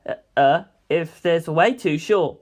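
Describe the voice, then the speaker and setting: A woman's voice: deep voice, a little slow, darkish tone. A woman voicing an inquisitive, easily startled young male detective, questioning and hesitant, in a story reading. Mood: curious, startled.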